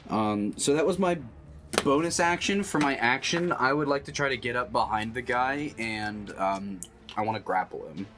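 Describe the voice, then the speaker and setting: A man's voice: medium pitch, normal speed, fairly bright tone, slight background noise. A man chatting casually as a player in a tabletop roleplaying game, informal and thinking aloud as he goes.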